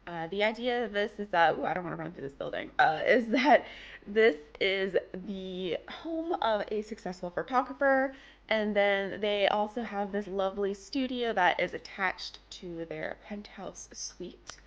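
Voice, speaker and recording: A woman's voice: mid-range voice, animated, unhurried, dull audio. A young woman with a slightly worn-out voice, chatting casually and rambling a little as she narrates her build. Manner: chatty, rambling, self-deprecating. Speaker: game build creator. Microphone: desk microphone.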